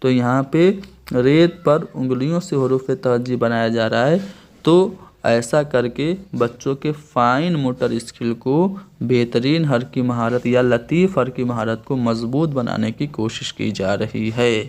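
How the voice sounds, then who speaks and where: A man's voice: mid-range voice, measured pace, darkish tone. A man with an Indian accent teaching an online exam-prep lecture, explaining each answer step by step and restating key terms.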